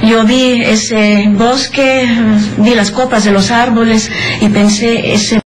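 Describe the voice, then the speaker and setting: A woman from Peru, a biologist, speaking as a guest in a radio studio interview at a desk microphone, calm and measured with slightly halting phrasing. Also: on the high side, a little hiss or hum, poor audio.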